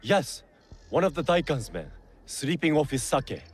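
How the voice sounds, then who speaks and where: An actor playing an aggrieved village farmer in a video game, speaking plainly and earnestly. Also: male voice, a little high, sing-song, measured pace, husky, heavily acted. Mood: worried. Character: aggrieved but grateful village farmer, man. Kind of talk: video game cutscene acting.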